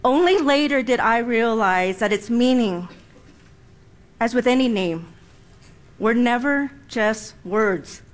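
A woman in her forties, born in Vietnam with Vietnamese as her first language, giving a prepared personal speech in a deliberate, emphatic way. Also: on the high side. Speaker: speaker.

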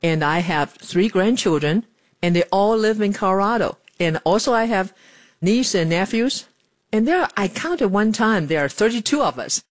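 A woman, speaking plainly and earnestly in an interview, with pauses and fillers. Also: mid-range voice, expressive. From Taiwan. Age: 70s. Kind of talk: documentary interview. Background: music.

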